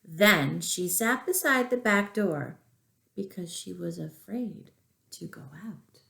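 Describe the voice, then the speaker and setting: A woman reading a storybook aloud to young children, expressive and deliberate, with asides to the listeners. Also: medium pitch, creaky. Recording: echoey room.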